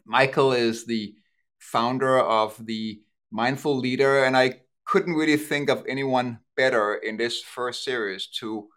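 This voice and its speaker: A man hosting a podcast interview, opening with a formal, slightly scripted introduction and moving briskly through his questions. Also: mid-range voice, a bit bright. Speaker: host, company CEO.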